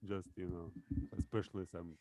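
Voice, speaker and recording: A man with a very low, mellow voice. He speaks haltingly in casual conversation, often searching for the right word and restarting. His warm, deep tone carries his thoughts slowly. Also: flat delivery. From Russia. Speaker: speaking club organizer. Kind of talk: casual discussion.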